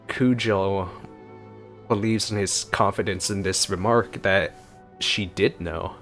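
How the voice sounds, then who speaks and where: A man giving casual gameplay commentary, musing aloud in halting phrases as he plays. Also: lowish voice, a bit bright. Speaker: let's play streamer. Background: game music.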